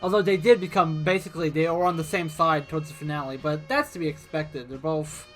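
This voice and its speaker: A young woman co-hosting a casual movie review chat, enthusiastic and halting, often repeating herself. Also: low-pitched, fairly even intonation, soft background music. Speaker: podcast co-host.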